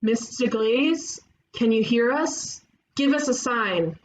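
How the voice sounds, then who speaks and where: A woman playing a blunt, cocky detective with flippant deadpan delivery in an animated comedy table read. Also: on the high side, slow pace, rough recording.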